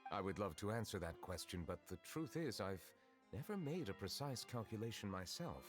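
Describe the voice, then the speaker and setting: An actor playing a calm, formal male chief justice who speaks politely and with measured care. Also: fairly low pitch, faint music behind.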